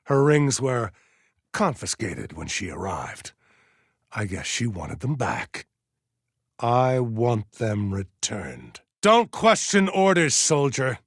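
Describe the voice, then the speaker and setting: An actor playing a stern, pious, authoritarian militia colonel in a video game, middle-aged and husky, giving measured orders with righteous solemnity. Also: male voice, mid-range voice, very animated, no echo, clean studio sound, full character voice. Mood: stern, commanding. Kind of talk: dramatic video game voice acting.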